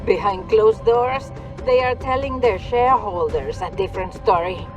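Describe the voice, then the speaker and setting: A woman's voice: mid-range voice, normal speed, lo-fi sound, over music, close to an everyday voice. A woman playing a taunting, condescending mercenary woman in a video game, with a teasing manner. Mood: sly, provocative. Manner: teasing, condescending, peppers speech with endearments. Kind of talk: video game cutscene acting.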